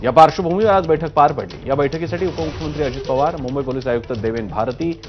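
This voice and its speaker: A man's voice: on the high side, fast. A man working as a news anchor, reading scripted news narration. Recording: muffled broadcast audio with music bed. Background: news music.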